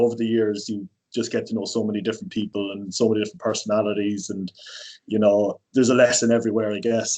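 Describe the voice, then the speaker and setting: A man's voice: medium pitch, mellow, rough recording. An Irish man in his thirties, a personal trainer, talking casually as a podcast guest with lots of fillers and restarts. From Ireland. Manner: rambling, many fillers and restarts.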